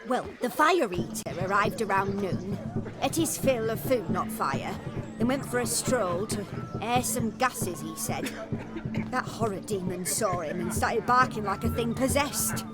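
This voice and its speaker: A woman's voice: mid-range voice, lively intonation, measured pace, lightly acted. An actress playing a feisty, exasperated middle-aged village mother in a video game, earthy and blunt. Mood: explaining. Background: loud background music.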